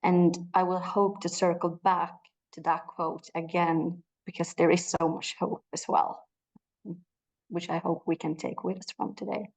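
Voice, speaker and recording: Young woman on a video call panel, creaky voice, pretty flat tone. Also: fairly low pitch, measured pace, low-quality recording. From northern Sweden. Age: young adult. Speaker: panelist, PhD researcher. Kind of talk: self-introduction at an online panel. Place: small indoor room, video call.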